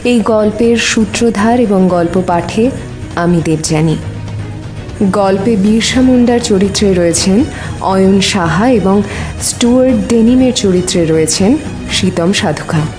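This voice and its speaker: A woman reading a scripted dramatic story in a measured, expressive way with deliberate pauses. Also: mid-range voice, over music. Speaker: narrator and host of a story channel.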